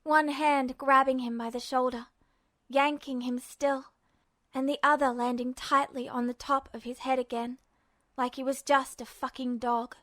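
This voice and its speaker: A woman's voice: sits high, level tone, unhurried, fairly bright tone, no echo. A woman narrator reading fiction in a dramatic audiobook style.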